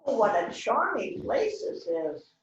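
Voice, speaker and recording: A woman's voice: fairly high pitch, sing-song, a little slow, lo-fi sound. A woman reading the part of an eager visiting friend in an amateur mystery play rehearsal. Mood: bright, admiring. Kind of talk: amateur readers theater rehearsal, script reading. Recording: very echoey room, distant mic, faint noise.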